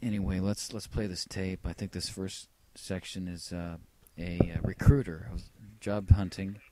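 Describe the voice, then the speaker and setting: Male vlogger, deep voice, rambling and reflective, wry delivery, slight background noise. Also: little pitch movement, moderate pace, warm tone. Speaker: vlogger playing old answering machine tapes. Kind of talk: casual rambling personal narration.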